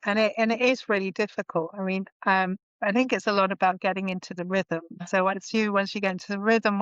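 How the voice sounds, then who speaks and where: A woman guest on a podcast who chats casually and thinks aloud, often restarting her sentences. Her voice is smooth and clear at a medium pitch. The audio is dry and a little dull. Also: a bit bright, no echo. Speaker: podcast guest, marketing consultant. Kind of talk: casual conversation.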